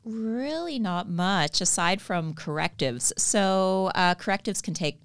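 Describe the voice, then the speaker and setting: A woman's voice: mid-range voice, takes it slowly, clean tone. A woman with a Canadian accent, a podcast guest earnestly explaining her therapy work and laughing easily. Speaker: guest, stretch therapy educator. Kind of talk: podcast interview.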